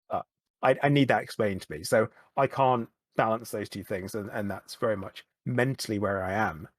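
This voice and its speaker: Brief: low male guest voice, candid and halting, close dry mic. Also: no room sound. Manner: halting, repeats words and restarts often. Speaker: podcast guest. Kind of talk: candid conversational chat.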